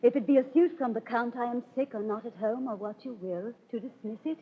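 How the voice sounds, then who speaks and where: A woman's voice: fairly high pitch, brisk, lightly acted. An actress playing a dignified young noblewoman with dry wit in 1930s radio Shakespeare style. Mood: imperious. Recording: muffled 1930s radio, long reverb.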